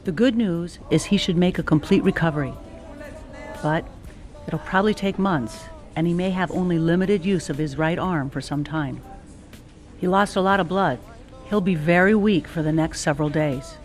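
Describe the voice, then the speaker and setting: A woman's voice: on the low side, moderate pace, faint music behind, lightly acted. A woman playing a composed surgeon who gives serious updates in a radio play. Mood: calm, serious. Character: composed female surgeon updating an anxious family.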